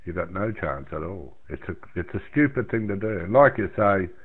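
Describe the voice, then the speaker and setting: A thin, band-limited radio transmission carries the low voice of an older Australian man. He chats casually and plainly, rambling a little and circling back over his own words. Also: expressive, normal speed, husky. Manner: rambling, plain-spoken, repeats himself. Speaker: amateur radio operator in a round-table chat. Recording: narrow, tinny radio transmission.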